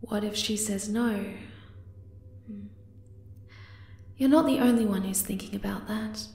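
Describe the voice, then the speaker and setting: A woman voicing a shy, flustered young moth-girl lover in an ASMR roleplay, hesitant, tender and soft-spoken. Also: mid-range voice, unhurried, roomy sound, lightly acted. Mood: gentle, comforting.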